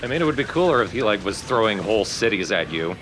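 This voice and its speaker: A man's voice: on the low side, sing-song, fast, background noise. A man giving casual, explanatory gameplay commentary in an informal way. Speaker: let's play commentator. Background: game music.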